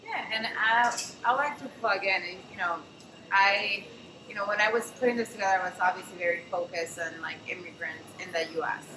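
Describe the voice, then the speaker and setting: A woman hosts a panel in a café and tells an anecdote in a loose, chatty way full of fillers and "I'm like." Her voice sits at a middle pitch. She is picked up from some distance in a reverberant room with clear background noise. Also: fairly quick. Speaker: host and café founder. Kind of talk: casual anecdotal storytelling at a panel. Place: café event space. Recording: echoey room, distant mic, noisy.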